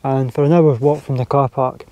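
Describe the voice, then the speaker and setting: A Scottish man talking casually to his camera while camping on a hilltop, relaxed and chatty. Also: mid-range voice, moderate pace, no echo. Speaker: outdoor vlogger. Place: outdoors on a windy hilltop campsite. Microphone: handheld camera or mini tripod.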